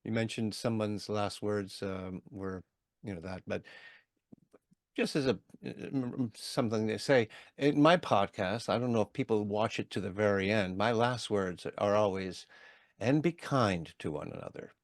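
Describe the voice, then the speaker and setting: A man's voice: lowish voice, moderate pace, no room sound, clean studio sound. A man whose first language is Hungarian, hosting a podcast interview in a reflective, encouraging way. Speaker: podcast host. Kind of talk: casual interview.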